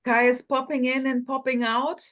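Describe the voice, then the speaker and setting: A woman joins a video call and chats casually in a playful, teasing tone. Her voice is high and very bright. She jokes with the group as she talks. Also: level tone, moderate pace. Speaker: participant.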